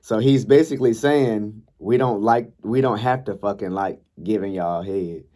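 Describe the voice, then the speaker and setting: A young man vlogging in a laid-back, chatty way, sounding a bit congested and raspy from a night of yelling. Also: on the low side, normal speed. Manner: laid-back, chatty, frequent ums and likes. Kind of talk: casual vlog talk, reading posts aloud.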